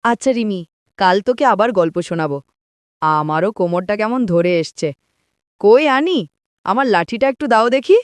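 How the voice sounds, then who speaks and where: A woman voicing a gentle, indulgent elderly grandmother who tells fairy tales in a children's cartoon. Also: mid-range voice, lively intonation, normal speed, long pauses, clear, resonant tone, dry recording, clean studio sound, natural voice.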